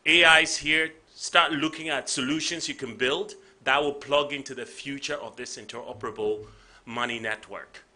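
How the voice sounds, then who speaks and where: A man's voice: medium pitch, steady tone, normal speed, bright, forceful tone. A Ghanaian man giving a rallying conference speech, repeating phrases for emphasis. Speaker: panel speaker.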